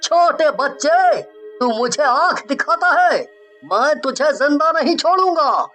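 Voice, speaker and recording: A man playing a cruel, boastful forest bandit in a horror story, slow and menacing. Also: extremely high pitch, lively intonation, sharp tone, faint music behind, exaggerated voice. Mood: threatening.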